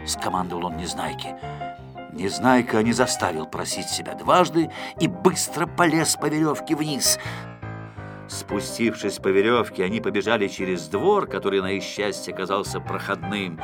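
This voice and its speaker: A man narrating a children's story from a script in an expressive, theatrical storytelling manner with dramatic emphasis. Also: on the low side, moderate pace, music in the background. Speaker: audiobook narrator.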